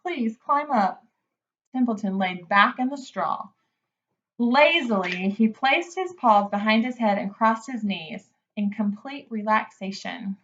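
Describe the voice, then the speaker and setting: A woman reading a children's book aloud as an expressive narrator. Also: medium pitch, a little slow, highs cut off.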